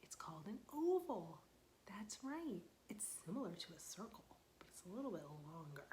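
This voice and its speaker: A young woman librarian leading a storytime for young children, asking questions in a sing-song voice and dropping to soft whispers for suspense. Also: medium pitch, big pitch swings, moderate pace, a little hiss or hum.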